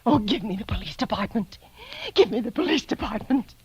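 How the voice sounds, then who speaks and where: An actress playing a nervous, demanding invalid wife alone at night in an old radio thriller, stammering and breathless. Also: female voice, on the high side, lively intonation, fairly quick, a little mellow, slightly rough. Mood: hushed terror. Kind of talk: 1940s radio thriller acting. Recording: vintage radio drama recording.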